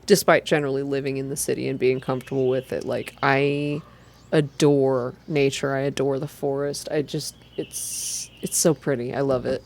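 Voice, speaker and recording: A woman reading a story game aloud in ASMR style, slow and gentle, with casual asides. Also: extremely low pitch, level tone, creaky, a little hiss or hum. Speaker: game streamer reading a visual novel aloud.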